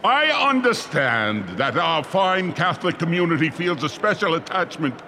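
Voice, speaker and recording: An actor playing a placating small-city mayor in a radio drama, halting and formal as he addresses citizens. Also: a little high, very animated. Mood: nervous, placating. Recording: noisy outdoor street scene.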